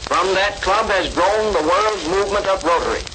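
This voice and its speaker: Scratchy old 1930s radio announcer, guy, high voice, very formal and ceremonious, crackle all through. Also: normal speed. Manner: ceremonious, declamatory. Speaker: announcer introducing a speaker.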